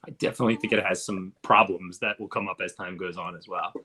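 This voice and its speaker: A man hosts a casual film discussion at a quick pace. His voice is husky, fairly low and forceful. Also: steady tone. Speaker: discussion host.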